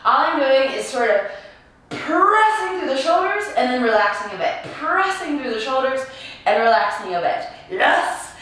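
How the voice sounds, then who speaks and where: Hoarse, giggly woman coaching a home workout. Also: sits high, fairly bright tone. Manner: bubbly and giggly, coaching with playful asides. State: raspy, hoarse voice. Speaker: fitness coach. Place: apartment room. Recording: echoey room, distant from mic.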